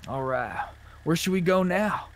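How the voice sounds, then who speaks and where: Higher-voiced man bantering in a game. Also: lively intonation, unhurried. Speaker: gamer, roleplay streamer. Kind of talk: casual in-game roleplay banter.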